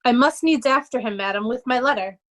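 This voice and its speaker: Lady doing a careful servant in a shakespeare reading, polite and guarded, high pitched. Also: a little fast, fairly bright tone, lightly acted. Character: guarded, deferential steward Oswald. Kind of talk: amateur Shakespeare reader's theatre.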